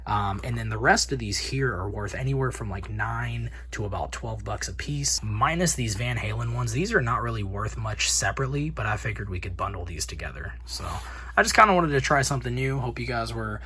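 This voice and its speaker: A man's voice: mid-range voice, expressive, brisk, bright, forceful tone. An American man hosting a video in a casual, slangy vlog style, chatting informally to his viewers. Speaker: host of a video channel about reselling collectibles.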